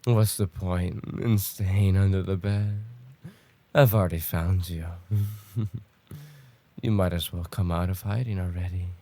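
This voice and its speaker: A man playing a possessive, smugly menacing stalker in an ASMR roleplay, taunting in a mock-tender, unhurried way with a gravelly, husky voice. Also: low voice, fairly even intonation, warm tone. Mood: taunting, gleeful.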